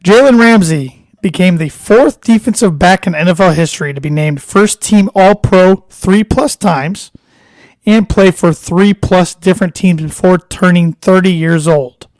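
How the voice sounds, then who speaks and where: A man co-hosting a casual sports podcast, relaxed and conversational, his voice a bit hoarse. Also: sits high, no room sound, poor audio. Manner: relaxed, conversational, reads trivia questions slowly. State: slightly hoarse.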